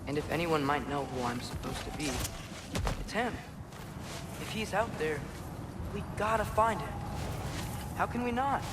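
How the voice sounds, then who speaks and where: A man voicing an eager, high-pitched teenage warrior's son. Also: a little slow, long pauses, very noisy, close to an everyday voice. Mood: earnest, insistent. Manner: earnest, urgent, youthful. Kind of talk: dramatic video game acting.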